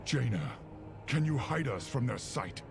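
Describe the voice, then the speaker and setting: A man playing a resolute veteran warrior in a fantasy game cutscene, with a gruff, gravelly voice. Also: medium pitch, lively intonation, a little slow, music in the background. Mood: tense.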